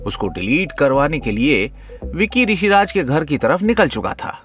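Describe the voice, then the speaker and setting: A man's voice: fairly high pitch, big pitch swings, moderate pace, fairly bright tone, faint music behind. A man narrating a scripted audio story in a dramatic storytelling style.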